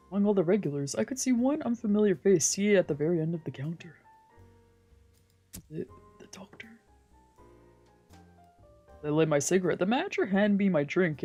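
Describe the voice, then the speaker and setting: Mellow voice reading a story over music. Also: medium pitch. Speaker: streamer reading a visual novel aloud. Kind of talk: scripted narration, reading aloud. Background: background music from a video game.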